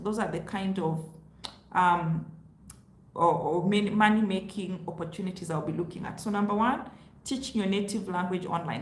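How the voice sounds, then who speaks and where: A woman whose first language is Luo, giving casual money advice in a chatty, explaining way. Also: mid-range voice. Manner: chatty, explains with rhetorical asides. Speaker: vlogger. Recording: roomy echo with faint hiss.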